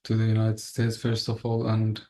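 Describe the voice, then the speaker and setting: A man's voice: on the low side, completely flat delivery, moderate pace, dark tone. A young man from Afghanistan giving an earnest webinar talk over a video call, often restarting his words. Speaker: guest speaker.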